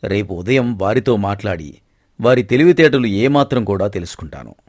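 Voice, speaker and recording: A man playing a stern, proud king and father in a dramatized folk story, speaking formally and with measured authority. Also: on the low side, lively intonation, darkish tone, no echo, clean studio sound. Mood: proud, deliberate. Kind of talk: dramatized moral story acting.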